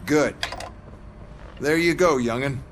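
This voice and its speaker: Man playing smug domineering police executive, medium pitch, sarcastic, condescending, video game, noisy ambience behind. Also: slow pace, lightly acted. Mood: smug, commanding. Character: smug, scheming, domineering male corporate police boss.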